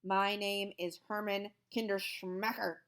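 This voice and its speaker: A woman voicing a stern, menacing old male teacher who growls and snaps his words slowly. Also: sits high, level tone, fairly bright tone, clear, resonant tone, exaggerated voice. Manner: growling, snapping, slow and threatening.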